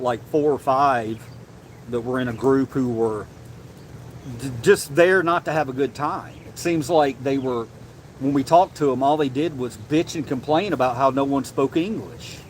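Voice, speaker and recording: A man hosting a casual livestream and reading chat, animated and loose, swearing casually and calling people bro. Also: on the high side, a little hiss or hum. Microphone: desk mic, sometimes leaning away.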